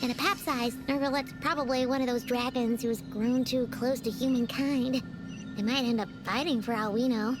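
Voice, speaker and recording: A woman voicing a perky, childlike fairy companion in an anime game, speaking with a squeaky voice. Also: fairly even intonation, normal speed, over music, natural voice. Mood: musing. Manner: speaks of herself in third person, perky. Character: small chirpy fairy girl companion and guide.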